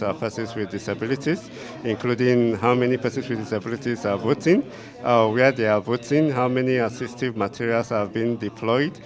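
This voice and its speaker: A man, a data clerk methodically explaining in an interview. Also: medium pitch. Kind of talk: interview answer. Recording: crowd chatter behind.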